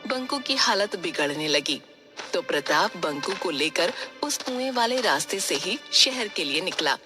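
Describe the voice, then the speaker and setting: A woman's voice: on the low side, lively intonation, measured pace, music in the background. A woman giving scripted narration of a children's story as its storyteller.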